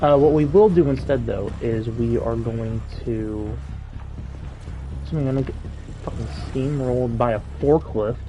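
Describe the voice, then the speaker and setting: A man's voice: mid-range voice, measured pace, background noise. A man giving casual let's play commentary, joking and swearing as he reacts to the game. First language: not Russian. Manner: joking, profane, excitable. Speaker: gaming streamer.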